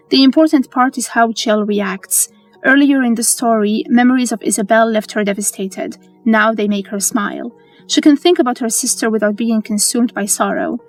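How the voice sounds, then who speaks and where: A woman reads scripted explainer narration in a measured, earnest way. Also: fairly high pitch, low-quality recording. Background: music.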